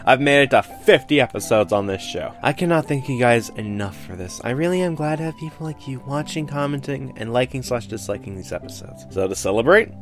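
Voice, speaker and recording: Man, young adult, animated pitch, mid register, sarcastic comedic critique, self-mocking, faint background music. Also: fairly quick, hardly any pauses. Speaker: host of a fan fiction review show. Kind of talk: scripted comedic critique narration.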